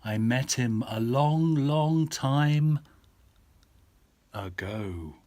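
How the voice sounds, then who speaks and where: A hulking storybook Gruffalo father, boastful and dramatic, spinning a scary tale for his child, as a dad puts him on during a bedtime reading. He drawls slowly, and his lines swell up and then fall away to a low hush. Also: animated.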